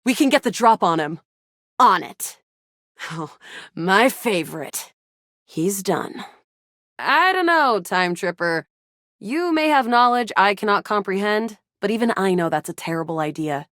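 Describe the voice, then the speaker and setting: A woman voicing a feisty, excitable young resistance fighter in a sci-fi video game, with a dramatic, playful delivery. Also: mid-range voice, measured pace, dry recording, clean studio sound. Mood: brisk, playful. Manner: animated, dramatic, playful banter. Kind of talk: video game character voice acting.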